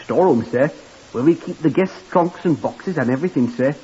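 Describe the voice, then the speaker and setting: Guy doing a simple eager young lift boy, higher voice, rambly and polite, old muffled radio w/ hiss. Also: animated, brisk, warm tone, close to an everyday voice. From Blackpool, Lancashire. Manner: deferential, says sir constantly, rambling. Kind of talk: 1940s radio acting.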